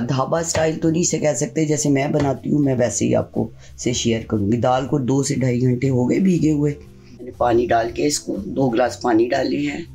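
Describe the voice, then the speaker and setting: A middle-aged woman walks viewers through a home recipe, one step at a time. Her speech is unhurried and motherly, and she explains as she goes. Music plays clearly beneath her voice. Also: a bass voice, warm tone. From Pakistan. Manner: homely, motherly, explains while she works. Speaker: home cooking channel host. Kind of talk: step-by-step cooking tutorial narration. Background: background music.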